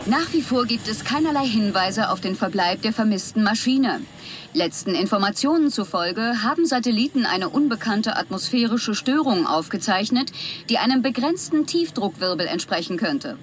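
A woman's voice: on the high side, expressive, moderate pace, a little hiss or hum, lightly acted. A woman playing a factual television news reporter, delivering a scripted report in a radio drama. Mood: sober, factual.

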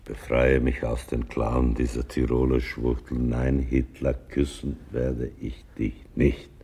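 An actor playing a wry, patient older man in a radio drama, speaking gently and deliberately in a gravelly, husky voice. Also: a bass voice, flat delivery, heavily acted. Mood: wry tenderness. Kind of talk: theatrical radio drama acting.

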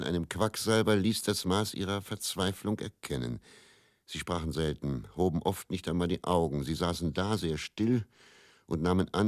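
An actor playing a bitter, world-weary travelling faith healer and quack in a radio play monologue, musing and theatrical. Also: male voice, low-pitched, no room sound, studio quality. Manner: brooding, musing, repeats words, theatrical shifts between murmur and outburst.

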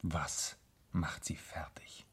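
An actor in a German radio crime drama playing a composed, aristocratic gentleman detective, terse and measured in manner. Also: a bass voice, steady tone, slightly rough, whispered, exaggerated voice. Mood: quiet, attentive.

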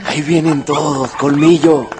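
A man's voice: on the high side, fairly even intonation, measured pace, music in the background, natural voice. An actor playing a devoted young man who loves his animal, in a radio drama. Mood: proud, affectionate.

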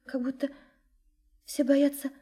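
An actress in a radio drama playing a sincere, lovesick young woman, soft and hesitant, often dropping into a breathy whisper. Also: high-pitched, little pitch movement, slow. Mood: earnest, confiding. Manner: hesitant, earnest, confiding. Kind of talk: intimate radio drama acting.

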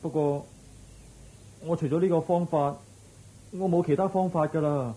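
An actor playing a humble, apologetic goldsmith's son in a radio drama, earnest and meek, speaking Hong Kong Cantonese. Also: male voice, a little high, steady tone, moderate pace, dark tone, natural voice, rough recording. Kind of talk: Buddhist story radio drama acting.

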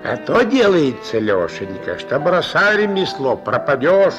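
A worn-out old fence from a mid-century radio play, wheedling and folksy. His voice sits fairly high and swoops in pitch as he coaxes, meek yet sly. Music plays behind the old recording. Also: very animated, a little slow, lo-fi sound. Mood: sly, admonishing. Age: older. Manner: folksy, wheedling thieves' talk with diminutives.

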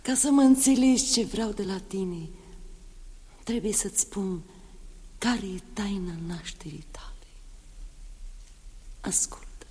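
An actress playing a young empress speaking softly and intimately to her son in a 1960s radio drama. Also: mid-range voice, long pauses, lightly acted. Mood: tender. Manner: soft, intimate, often hushed. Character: young tender empress mother. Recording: 1964 radio recording in long reverb.

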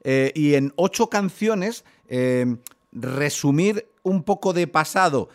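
A man's voice: a little high, animated, slow pace. A man hosting a radio interview in a conversational way, wordy and often repeating words as he goes. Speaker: radio host interviewing a musician. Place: radio programme.

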